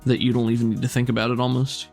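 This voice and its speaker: A man running a tabletop role-playing game as game master, narrating scenes in a relaxed, descriptive way. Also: mid-range voice, very fast, creaky, soft background music.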